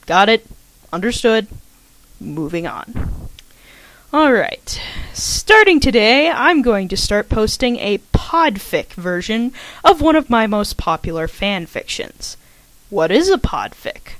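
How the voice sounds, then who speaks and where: Lively, animated woman introducing an online recording. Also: mid-range voice, slow, no room sound. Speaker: host of a gaming channel, fan fiction writer introducing a podfic. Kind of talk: chatty scripted introduction addressed to listeners.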